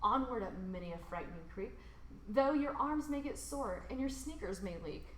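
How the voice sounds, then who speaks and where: A woman with a fairly high, very lively voice reads a rhyming picture book aloud to children. She leans into the rhymes with theatrical emphasis, stretching words for drama. The recording has a clearly roomy echo. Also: moderate pace, a little hiss or hum. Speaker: storybook reader.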